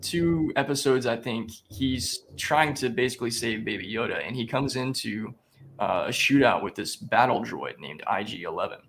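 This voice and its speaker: A man speaking at a middle pitch, a guest on an interview show, telling a story in a relaxed, conversational way. He acts out the lines he quotes, and his delivery is lively and engaged. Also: moderate pace, a little hiss or hum. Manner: animated retelling with quoted dialogue. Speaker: guest, spiritual growth coach.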